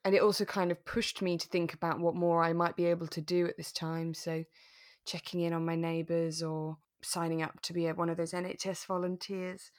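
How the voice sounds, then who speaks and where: Woman podcast co-host, lower-pitched voice, conversational and animated, discussing a radio drama informally. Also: fairly quick. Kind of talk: casual podcast chat about a radio drama.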